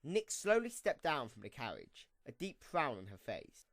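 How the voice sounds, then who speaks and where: A man's voice: mid-range voice, big pitch swings, normal speed, fairly bright tone, no room sound. A man narrating a scripted story for an amateur radio play, reading in a storybook style.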